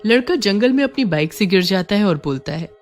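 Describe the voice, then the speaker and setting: A woman's voice: medium pitch, expressive, brisk. Female horror story narrator over music.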